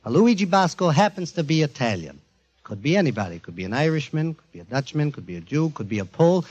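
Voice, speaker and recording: A man presenting a show to a studio audience in an emphatic, informal, jokey warm-up style, on a 1940s radio recording. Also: a little high, big pitch swings, fast, lo-fi sound. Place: before a live studio audience.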